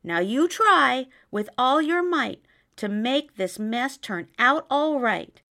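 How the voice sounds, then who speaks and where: A cross little mouse scolds and makes demands in a very high, squeaky voice, as a woman reading a storybook puts him on. His pitch leaps and swoops with fussy indignation. He sounds every bit the bossy storybook mouse. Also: expressive, takes it slowly, sharp tone, exaggerated voice. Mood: scolding. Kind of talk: storybook character voice.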